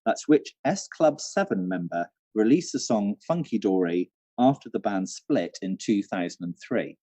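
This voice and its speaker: A man's voice: fairly low pitch, animated, normal speed, warm tone, no room sound. A man hosting a casual live quiz from home, chatty and repeating questions for listeners, on a dull livestream recording. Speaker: quiz host, theatre venue manager.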